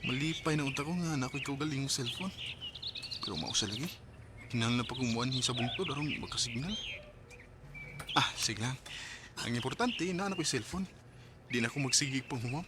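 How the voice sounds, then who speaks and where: A man's voice: medium pitch, fairly quick, pauses often, lightly acted. An actor with a Filipino accent playing an eager, boyish young rural man in love in a radio drama. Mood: musing, pleased. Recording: clearly noisy radio drama recording.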